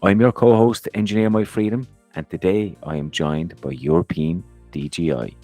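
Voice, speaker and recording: Low-voiced man hosting a podcast. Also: fairly even intonation, moderate pace, dark tone, faint music behind. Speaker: podcast co-host. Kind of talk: scripted intro, then interviewing.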